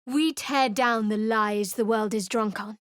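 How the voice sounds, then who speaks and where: A woman's voice: a little high, unhurried, clear, resonant tone, dry recording, studio quality, natural voice. A woman voicing a guarded, secretive young female cleric in a video game, speaking in a cool, wry, clipped way. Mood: sardonic. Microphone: dry close studio mic.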